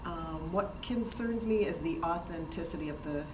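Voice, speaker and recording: Female teacher, lowish voice, informal remarks to a group, distant pickup. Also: moderate pace. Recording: noisy room, distant mic.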